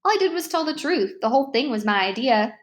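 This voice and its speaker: A woman's voice: sits high, very animated, fast. A woman voicing an earnest, apologetic, nerdy middle-school boy in a storybook read-aloud. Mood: contrite, earnest.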